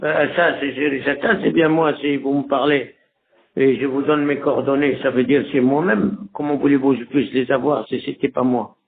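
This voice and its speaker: A man's voice: medium pitch, fairly even intonation, normal speed, vocal fry. An older man talking on a phone call as a customer, wary and insistent. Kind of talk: phone conversation. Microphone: telephone call. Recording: dull lo-fi phone call audio.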